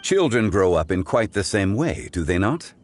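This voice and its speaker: An actor playing a calm, wise gentleman in a video game, speaking formally and with measured courtesy. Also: fairly low pitch. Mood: gentle, philosophical.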